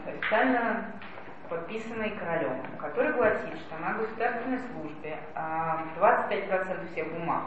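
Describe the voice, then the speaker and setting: A woman giving a spoken lecture, explaining things and addressing her listeners directly. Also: lowish voice. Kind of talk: spoken lecture on language policy. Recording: echoey distant lo-fi hall recording with noise.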